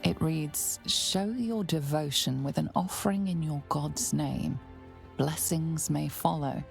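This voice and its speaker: A woman playing the grave narrator of a dark fantasy game, solemn, measured and ominous. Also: low voice, creaky, faint music behind, exaggerated voice.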